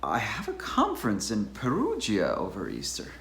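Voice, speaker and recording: A man's voice: lowish voice, wildly swooping pitch, moderate pace, creaky, fairly bright tone, heavily acted. A man playing a complacent, fussy bachelor academic in a dramatized novel reading, formal, musing and self-satisfied. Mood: genteel. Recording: roomy echo, faint background noise.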